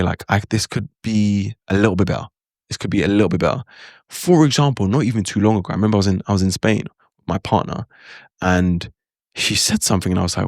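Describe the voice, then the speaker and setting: A young man talks casually about learning a language, chatty and animated, acting out his own reactions. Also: lowish voice, no echo, clean studio sound. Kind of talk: casual talking-head advice.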